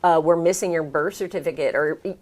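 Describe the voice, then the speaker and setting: An American woman with a slightly low voice talks as a guest in a casual interview. She recounts her experiences in a chatty, expressive way, often acting out what was said. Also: fast talker. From United States. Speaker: interview guest, American who moved to France. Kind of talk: casual conversational storytelling.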